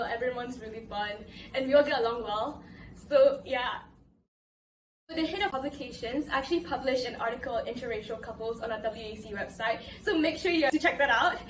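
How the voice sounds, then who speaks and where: A young woman with a fairly high voice leads a casual panel discussion and moves things along. She sits away from the mic in a roomy, echoing space, with steady room noise underneath. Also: recorded from a distance. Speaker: host.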